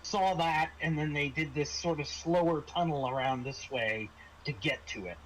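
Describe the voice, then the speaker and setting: A man narrating a tabletop roleplaying game as game master, in a halting, improvised way. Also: fairly high pitch, a little hiss or hum, rough recording.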